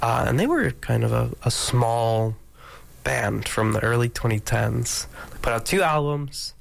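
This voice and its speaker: A man's voice: mid-range voice, expressive, unhurried, gravelly. A male radio DJ talking casually between songs, congested from allergies, rambling with many ums and restarts. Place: radio studio.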